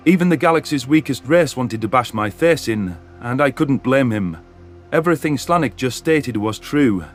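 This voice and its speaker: A man reading a scripted first-person science fiction story as a dramatic narration. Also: mid-range voice.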